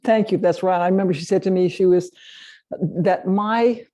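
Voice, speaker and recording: A woman's voice: fairly low pitch, steady tone, moderate pace, dark tone. A woman presenting a local history talk and taking audience questions. She speaks conversationally, often agreeing with "yeah" and restarting sentences. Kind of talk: lecture and audience Q&A. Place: meeting room with a live audience.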